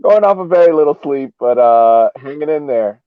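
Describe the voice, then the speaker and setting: A man's voice: fairly high pitch, animated, normal speed, clear, resonant tone, no room sound. Muffled, radio-like man talking sports casually. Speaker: podcast panelist. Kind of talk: casual sports analysis chat. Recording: muffled narrow-band radio-like sound.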